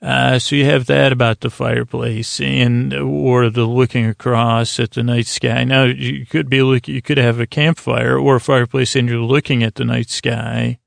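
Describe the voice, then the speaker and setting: A man hosting a podcast, with a creaky, slightly smoky voice, rambling through a soothing bedtime monologue in a meandering, halting, self-deprecating way. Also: medium pitch, flat delivery, no echo. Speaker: podcast host.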